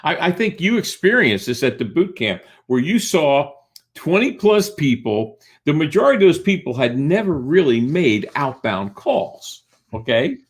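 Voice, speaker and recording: A man's voice: on the high side, big pitch swings, normal speed. A man hosting a podcast interview as a sales coach, speaking directly and checking in with short tag questions. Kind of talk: conversational interviewing.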